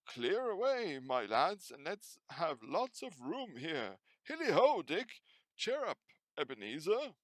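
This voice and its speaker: A man's voice: huge pitch swings, slow pace, no room sound, exaggerated voice. A man voicing a jovial, portly old merchant in a storybook, calling out heartily. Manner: booming, hearty calls.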